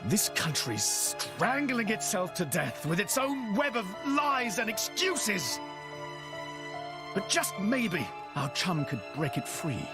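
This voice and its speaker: A man playing a brash, cynical prince in a game, slangy and gruffly dramatic. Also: high-pitched, very animated, slow pace, music in the background, heavily acted. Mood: irritated. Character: brash, cynical rogue prince with a soft spot. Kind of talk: voiced game cutscene acting.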